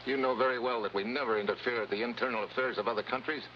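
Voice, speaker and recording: An actor with an American accent playing a brusque, sardonic special agent in a vintage TV adventure. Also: male voice, medium pitch, fairly even intonation, rapid-fire, close to an everyday voice. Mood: dry, impatient. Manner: clipped, dry, sardonic. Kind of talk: 1950s TV adventure acting with noir narration. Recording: muffled old TV soundtrack, heavy noise.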